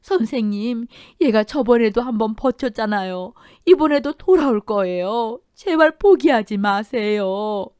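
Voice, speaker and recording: A woman's voice: lively intonation, measured pace, few pauses, darkish tone, clean tone, no echo, clean studio sound. Woman doing a wailing old mother-in-law. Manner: wailing, pleading, high-strung. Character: grieving elderly mother-in-law, desperate and fierce.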